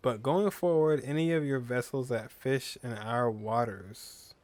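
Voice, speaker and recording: A man's voice: mid-range voice, unhurried, darkish tone, dry recording. A young man giving informal gameplay commentary, reacting aloud to what is on screen. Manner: informal, reacting aloud, reading game lines. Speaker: gaming streamer.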